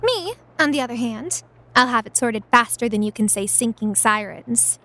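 High-voiced bossy young adventuress. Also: female voice, lively intonation, normal speed, bright, forceful tone. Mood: teasing, imperious. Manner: imperious and teasing. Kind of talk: audio drama acting.

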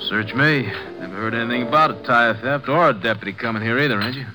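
Fast-talking actor as an offhand station attendant. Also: male voice, medium pitch, over music, close to an everyday voice. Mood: dismissive. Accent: American. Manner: offhand, folksy. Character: offhand, unhelpful male filling station attendant. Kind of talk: 1950s radio western acting. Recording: old radio recording.

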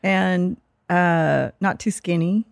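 A woman's voice: lowish voice, takes it slowly, vocal fry, dry recording. Female co-host, playful teasing chat, dry close mic. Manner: teasing, playful, laughs often. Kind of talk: casual chat.

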